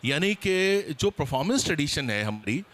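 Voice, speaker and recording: Male seminar panelist, slightly high voice, explanatory address to an audience. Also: sing-song, normal speed, a bit bright.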